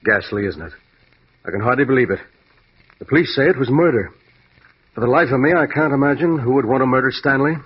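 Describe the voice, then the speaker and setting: An actor playing a fussy, genteel family lawyer in an old radio drama, with a proper, mannered delivery. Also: male voice, medium pitch, fast talker, long pauses, slightly rough, close to an everyday voice. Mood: polite, dismayed. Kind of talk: 1940s-50s radio drama acting. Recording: thin, phone-like vintage radio recording.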